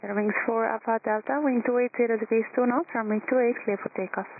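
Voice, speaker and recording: A woman working as an airport tower controller, giving clipped, courteous clearances in standard phraseology over the radio. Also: a little high, steady tone, dry recording. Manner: brisk, procedural, courteous. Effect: radio transmission. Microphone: air traffic radio. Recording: tinny narrowband radio with faint static.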